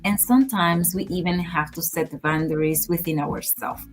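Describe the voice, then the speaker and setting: Woman, low voice, earnest and measured, podcast co-host, faint music underneath. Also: steady tone. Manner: earnest, advice-giving, measured. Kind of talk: casual podcast conversation.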